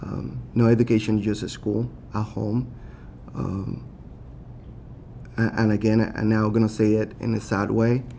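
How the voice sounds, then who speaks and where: A man with a Latin American Spanish accent, a city council candidate giving earnest, halting answers at a forum, with many fillers and restarts. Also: fairly low pitch, level tone, creaky, warm tone, slight background noise.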